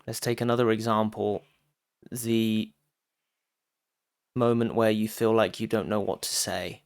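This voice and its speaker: A man giving a guided meditation talk as a teacher, phrasing deliberately with frequent pauses and addressing listeners directly. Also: fairly low pitch, little pitch movement, creaky, dry recording, clean studio sound.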